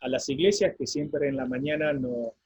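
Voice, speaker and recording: Husky Argentine man, lo-fi sound. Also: medium pitch, a little mellow. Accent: Argentine Spanish. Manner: halting, sends greetings place by place. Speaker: pastor. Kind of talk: greetings on a church lesson program. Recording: dull lo-fi sound.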